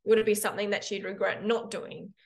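A young woman, a personal trainer and health coach, speaks as a guest in a podcast interview. She talks frankly and reflectively and tells her stories with animated energy. Her voice sits at a middle pitch for a woman. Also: a little fast, creaky.

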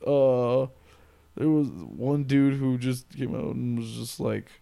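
A man's voice: mid-range voice, animated, slow pace, vocal fry, dark tone. A man in his late twenties co-hosting a podcast, chatting casually, rambling, laughing often and swearing freely. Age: late 20s. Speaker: podcast co-host. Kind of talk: casual chat.

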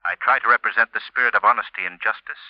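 An actor playing a suave, measured crime-fighting gentleman with a polished delivery, in 1940s radio drama style. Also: fairly low pitch, no echo, lightly acted. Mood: stern, taunting. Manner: measured, polished, sternly mocking. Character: suave crime-fighting gentleman, an unseen taunting avenger of justice. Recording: muffled old radio recording.

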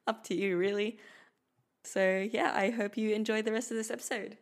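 A woman's voice: medium pitch, steady tone, moderate pace. A young woman who speaks Filipino as her first language, hosting her own podcast in a chatty, informal way with light laughter. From Philippines. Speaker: podcast host. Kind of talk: solo podcast monologue. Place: Australia.

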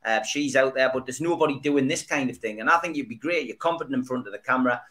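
A man's voice: medium pitch, fast talker, fairly bright tone. A man hosting a podcast, chatting casually and joking as he reads viewer comments aloud. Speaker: podcast host. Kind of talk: casual chat.

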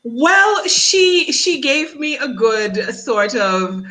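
A woman with a crisp, bright voice speaks as a guest in a relaxed interview. She talks in a lively way and laughs easily between phrases, like an actress chatting about her work. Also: sits high, very slow, low-quality recording. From Dominica by heritage, raised elsewhere. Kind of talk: casual interview chat.

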